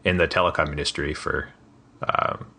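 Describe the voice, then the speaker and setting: Deep bright-toned man, halting interviewer. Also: steady tone, vocal fry. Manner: hesitant, with many restarts and small laughs. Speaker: podcast host. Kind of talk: casual interview conversation.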